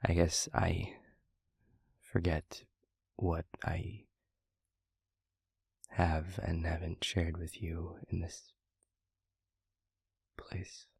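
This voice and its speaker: A man acts out a gentle, reflective demon in an intimate audio roleplay. The voice is very breathy and husky, sitting low and staying even, with soft, measured phrases that hesitate as he thinks. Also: dry recording, heavily acted. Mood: tender, hesitant. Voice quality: breathy, husky, half-whispered.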